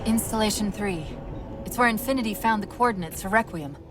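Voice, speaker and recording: A woman's voice: mid-range voice, brisk, close to an everyday voice. A woman voicing a witty female AI companion with a wry, biting manner. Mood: dry. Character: witty female AI, sharp, unraveling. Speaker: ship AI companion. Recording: distant game mix, echoey, loud music.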